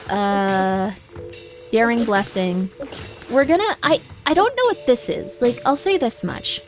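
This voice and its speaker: A woman chatting casually and playfully on a livestream, reacting aloud as she plays a game. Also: mid-range voice. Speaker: streamer. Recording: prominent game music behind. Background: video game music.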